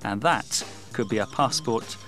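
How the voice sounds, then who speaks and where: A man's voice: on the low side, unhurried, a bit bright. A man hosting and commentating on a televised poker game. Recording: music under the voice. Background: music.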